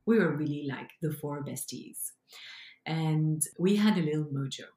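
Belgian French-accented woman, low voice, animated storyteller, roomy echo. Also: moderate pace. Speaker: guest. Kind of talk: storytelling interview.

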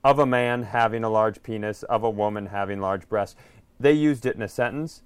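A man with a clean, smooth voice in a very dry recording, riffing casually as a podcast host. He talks in a sardonic, crude comic manner. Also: on the low side, normal speed, no room sound.

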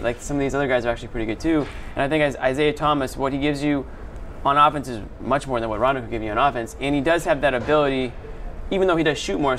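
A man's voice: mid-range voice, a bit bright, a little hiss or hum. An American man co-hosting a sports show, commenting on a game in casual, chatty language. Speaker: sports show co-host and analyst.

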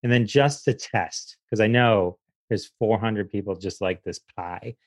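A man's voice: fairly low pitch, a little slow, no room sound. Self-deprecating male comedian, dry close recording. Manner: self-deprecating, animated retelling with acted quotes. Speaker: podcaster guest, comedian. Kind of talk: casual comic interview chat.

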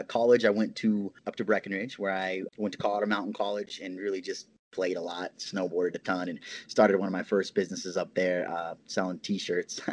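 Guy from hawaii, low voice, laid-back podcast chat, laughs a lot and rambles on. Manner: animated, laughs often, explains in long runs. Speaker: podcast guest, cannabis grower. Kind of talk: casual interview chat.

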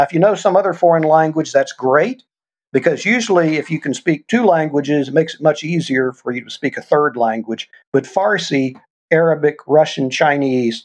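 Husky older man telling stories. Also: on the high side, normal speed. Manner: earnest, explains with examples. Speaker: guest, former intelligence officer. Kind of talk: conversational storytelling interview.

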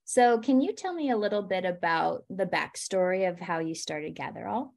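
A woman's voice: lowish voice, lively intonation, normal speed, creaky, darkish tone. A woman hosting a podcast interview, upbeat and encouraging, laughing easily as she asks questions. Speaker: podcast host.

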